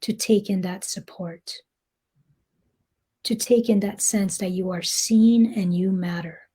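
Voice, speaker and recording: A woman guiding a meditation in a soft, breathy, gentle voice, speaking deliberately with long pauses. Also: mid-range voice, fairly even intonation. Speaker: meditation guide. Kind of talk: guided meditation, slow soothing narration.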